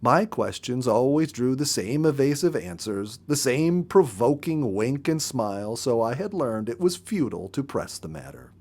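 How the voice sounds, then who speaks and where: A man with a medium-pitched voice reads a book aloud in an audiobook style. He takes his time, shaping phrases dramatically and leaving pauses between them. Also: big pitch swings, darkish tone. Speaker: storyteller reading a book aloud. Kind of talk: expressive narrated audiobook reading.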